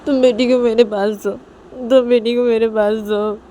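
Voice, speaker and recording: A woman's voice: a little high, little pitch movement, moderate pace, warm tone, a little hiss or hum, pitched above the performer's own voice, natural voice. A woman voicing a tearful, clingy young mother, pleading repeatedly in a sobbing, whiny delivery. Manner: repeats short pleas insistently.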